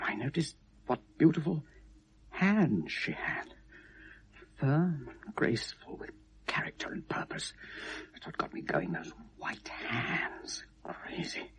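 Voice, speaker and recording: An actor playing a middle-aged British shopkeeper, wry and anguished, recording a brooding confession in a radio drama. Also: male voice, lowish voice, breathy edge, heavily acted. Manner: brooding, self-mocking, halting then bursting out. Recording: vintage 1970s radio recording.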